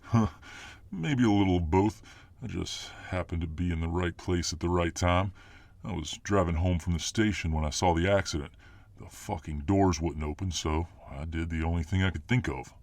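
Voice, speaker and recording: A man's voice: low voice, normal speed, a little mellow, no room sound. A man playing a huge, confident but modest alpha fireman hero in a casual, self-assured ASMR roleplay. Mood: modest pride. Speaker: voice actor in audio roleplay.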